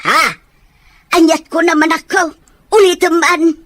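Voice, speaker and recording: Woman playing a slow, excitable doting mother. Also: very high voice, no echo. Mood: surprised. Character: excitable, doting older mother, eager for grandchildren. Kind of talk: Ilocano audio drama acting.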